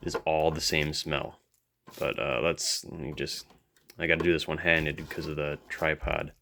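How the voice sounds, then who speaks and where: A man's voice: extremely low pitch, fairly even intonation, moderate pace, gravelly, pushed and bright, a little hiss or hum. A man giving casual, off-the-cuff hands-on commentary as a hobbyist video host, musing and thinking aloud as he goes.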